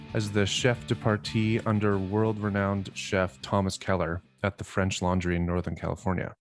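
A man co-hosting a podcast, giving an upbeat welcome and asking a guest easygoing questions. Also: lowish voice. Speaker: podcast co-host. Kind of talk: podcast intro and interview.

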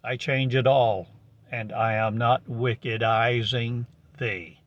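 A man giving a prophetic monologue in slow, halting declamation with archaic phrasing, on a dull lo-fi recording. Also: medium pitch, steady tone.

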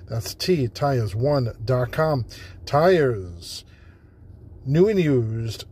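A man reading a local radio ad, brisk and repeating the details. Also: mid-range voice, warm tone, slight background noise. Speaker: ad announcer. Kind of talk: read radio ad.

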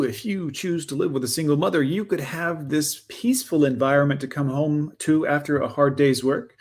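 A man's voice: a little high, a little mellow. A man hosting a reaction commentary, talking over a video with mocking, sarcastic asides.

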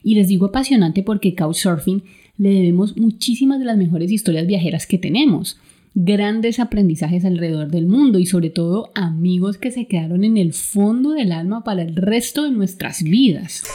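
A woman's voice: medium pitch, expressive, normal speed, warm tone. A woman with a Colombian Spanish accent hosting a travel podcast in a partly scripted, conversational way. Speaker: podcast host.

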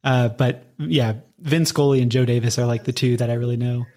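An American man chats as a podcast interviewer in an average-pitched voice. His manner is easygoing and informal, with hesitant, loosely built sentences that feel conversational. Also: steady tone, fairly quick, a little mellow. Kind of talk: casual interview chat.

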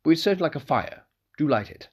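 A genial old aristocrat, voiced by a man questions a boy with easy upper-class charm in an audiobook. His tone is inquisitive, lifted above the reader's own low voice. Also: animated, quick pace. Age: older.

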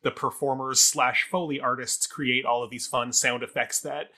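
A man's voice: medium pitch, level tone, moderate pace, pushed and bright. A man co-hosting a casual arts talk show, reviewing in a wordy, discursive and playful way.

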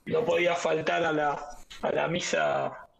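A man's voice: fairly high pitch, very animated, unhurried, gravelly, a crowd in the background. A man with an Argentine accent chatting casually as a guest on a podcast. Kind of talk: casual chat.

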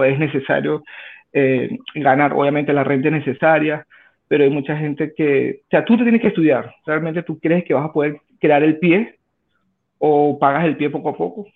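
A man's voice: fairly high pitch, gravelly. Venezuelan man in his thirties over a tinny phone line. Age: 30s. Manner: halting, with many restarts and fillers. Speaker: guest. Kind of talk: casual interview answers.

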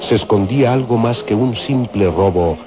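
A man's voice: on the low side, moderate pace, background noise. A man delivering solemn, dramatic scripted narration for a radio serial. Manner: solemn, descriptive storytelling. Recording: dull radio recording with music.

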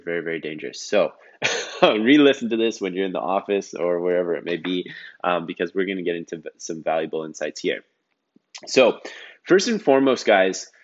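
A man's voice: low voice, dull audio. A man hosting a casual solo podcast, talking with energy and emphasis and often repeating words for stress, with a slightly groggy morning voice. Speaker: podcast host.

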